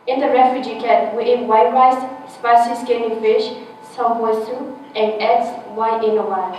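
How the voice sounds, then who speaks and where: A woman's voice: on the high side, fairly even intonation, darkish tone, slight background noise. Teen girl reading her essay in an echoey room. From Thailand. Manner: careful, halting reading with small restarts. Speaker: essay contest winner. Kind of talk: reading a personal essay aloud. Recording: echoey room, distant dull mic.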